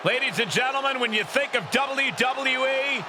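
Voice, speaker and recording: A man's voice: extremely high pitch, moderate pace. A man giving dramatic sports commentary as a wrestling announcer. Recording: loud crowd and arena noise.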